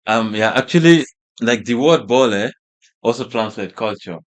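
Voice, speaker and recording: A man's voice: medium pitch, expressive, moderate pace. A man from Gambia whose first language is Wolof. He is a fashion brand founder talking as a guest in a casual interview, with many fillers and restarts.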